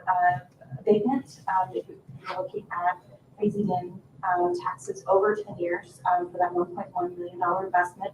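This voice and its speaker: American female official, medium pitch, hesitant formal presentation, distant mic with faint noise. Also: reverberant. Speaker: economic development official. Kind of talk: formal meeting presentation of figures. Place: indoor town council meeting room.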